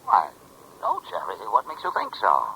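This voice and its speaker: An actor playing a hard-boiled, double-dealing crime boss who talks curtly and in slang in a 1940s radio drama. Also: male voice, on the low side, wildly swooping pitch, normal speed, pushed and bright, slight background noise, full character voice, rough recording. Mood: nervous, pleading. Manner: curt, slangy. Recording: vintage 1940s radio recording.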